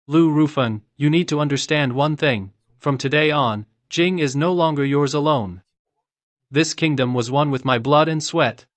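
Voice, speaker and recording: A resolute general, acted by a man in a motion-comic drama, with a slightly high voice and very dry sound. He speaks in measured, commanding phrases, principled and quietly defiant. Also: no echo, natural voice. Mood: stern.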